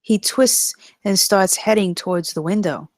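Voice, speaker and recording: A woman's voice: medium pitch, measured pace, no room sound, studio quality. A woman reading scripted stage directions as the narrator.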